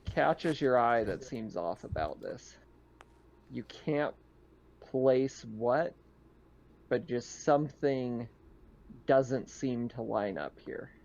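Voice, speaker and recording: Halting man with a low-pitched voice. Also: expressive, gravelly, a little mellow, highs cut off, slight background noise. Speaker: game master. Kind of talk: narrating a tabletop roleplaying game, casual.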